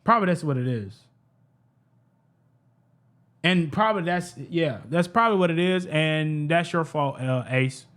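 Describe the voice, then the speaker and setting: A man with a fairly high voice reacts to a clip in a heated, unpolished rant. He repeats phrases, restarts sentences and swears freely. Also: expressive, unhurried, long pauses, a bit bright. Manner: heated, profane, repeats himself, stumbles over words. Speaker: reaction streamer. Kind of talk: ranting reaction commentary.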